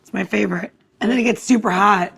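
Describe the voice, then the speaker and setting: A woman with a creaky, fry-laden voice at a medium pitch co-hosts a casual show. Her way of talking is informal and effusive, like chat among friends. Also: quick pace, fairly bright tone. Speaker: podcast co-host.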